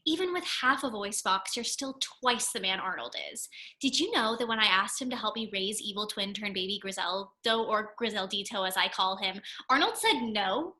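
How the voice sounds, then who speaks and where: A woman's voice: mid-range voice, expressive, moderate pace, bright, forceful tone. A woman playing a lovesick, theatrical young art student in a comedy table read. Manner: theatrical, indignant, overdramatic. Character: melodramatic, lovesick young art student, self-styled damsel in distress.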